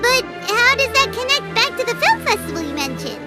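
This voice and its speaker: Game mascot role: tiny curious fairy, extremely high squeaky childlike voice, wildly animated and blunt. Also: female voice, a little fast, loud music behind the voice. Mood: surprised. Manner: refers to herself in third person, exclaims and questions. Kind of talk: cartoonish video game acting.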